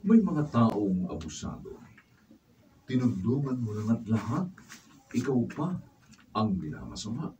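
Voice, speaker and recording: A man's voice: fairly low pitch, very animated, a little slow, pauses often. A man delivering dramatic scripted narration as the narrator of a radio drama. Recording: distant mic, faint background noise.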